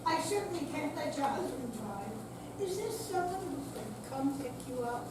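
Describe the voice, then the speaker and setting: A woman plays a stern female police officer with a high voice and a deliberate, official manner. It is a live staged reading in a very echoey room. The mic is distant and the noise is clear. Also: expressive. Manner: formal, firm, deliberate. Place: senior center hall, live performance.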